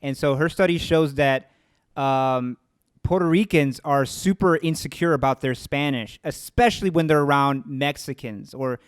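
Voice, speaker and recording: A man hosting a casual podcast, chatty and quick to agree before adding his own point. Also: fairly high pitch, clear, resonant tone, no room sound, clean studio sound. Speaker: podcast host.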